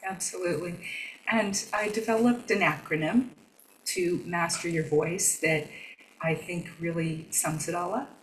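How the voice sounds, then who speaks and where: A woman guest speaking softly and conversationally, reflecting on her own experience. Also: lowish voice, fairly even intonation, a little slow, echoey room, distant mic, a little hiss or hum, low-quality recording. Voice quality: soft voice that does not carry. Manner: conversational, reflective, soft-spoken. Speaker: podcast guest. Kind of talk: conversational interview.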